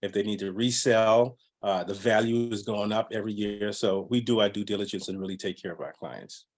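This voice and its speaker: A man's voice: on the low side, fairly quick. A man from the Bahamas, a realtor and podcast guest, talking casually in an upbeat, rambling way with many fillers. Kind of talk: casual interview talk.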